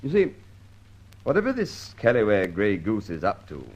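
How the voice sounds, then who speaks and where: An actor playing an earnest, methodical British police detective in an old-time radio drama, polite and confiding in manner. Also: male voice, fairly low pitch, huge pitch swings, normal speed. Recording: dull old radio recording.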